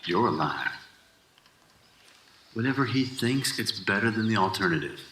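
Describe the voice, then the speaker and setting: An actor playing a wounded, gentle and proud ex-Marine, speaking in a terse, understated way in an audio drama. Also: male voice, on the low side, expressive, normal speed, a little hiss or hum. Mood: gently reassuring. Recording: roomy, with music behind.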